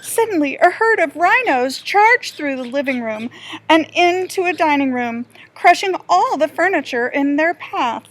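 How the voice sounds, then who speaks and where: Slow, high-pitched woman storyteller. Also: lively intonation. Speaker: teacher reading to pupils. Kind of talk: children's storybook read-aloud narration.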